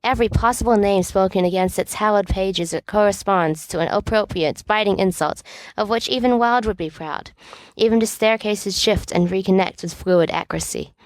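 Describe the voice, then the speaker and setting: A woman's voice: mid-range voice, normal speed, no echo, close to an everyday voice, low-quality recording. A woman playing an earnest, bookish teenage boy in a storybook reading, speaking in a precise, reproachful way.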